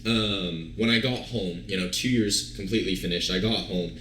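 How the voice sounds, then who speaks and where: A man of twenty-two telling his story as an interview guest, relaxed and rambling with lots of fillers and restarts. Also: low-pitched, moderate pace, a little hiss or hum. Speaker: guest, online fitness coach. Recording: roomy echo.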